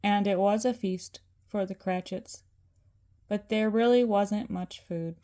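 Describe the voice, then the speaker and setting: An older woman narrates a children's storybook like a grandmother reading aloud. Her pace is slow and deliberate, and her voice sits at an average pitch for a woman. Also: fairly even intonation, dark tone.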